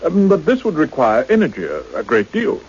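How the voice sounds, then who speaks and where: A man acting a mild but sharply probing government minister in a radio play, with a deliberate, questioning manner. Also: on the high side, huge pitch swings, darkish tone, breathy edge, heavily acted. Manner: deliberate, clipped, questioning, authoritative. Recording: muffled radio recording with faint noise.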